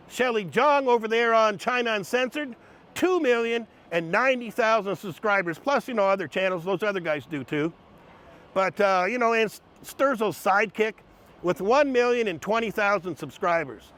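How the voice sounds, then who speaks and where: High-pitched sarcastic male commentator. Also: a bit bright. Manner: sarcastic, snarky. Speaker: commentary host. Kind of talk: mocking commentary.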